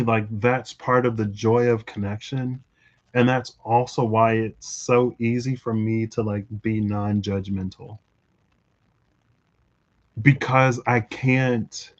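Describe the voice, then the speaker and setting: A man thinking out loud in a rambling, reflective monologue for his tarot channel, full of fillers and restarts. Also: medium pitch, muffled recording. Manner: musing, lots of fillers and restarts. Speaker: tarot reader vlogger.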